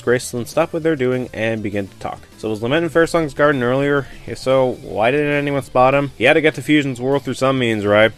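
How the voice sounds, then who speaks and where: A man hosting a review series, giving sarcastic scripted commentary with mock disbelief. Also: medium pitch. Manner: sarcastic, mock-incredulous, swears freely.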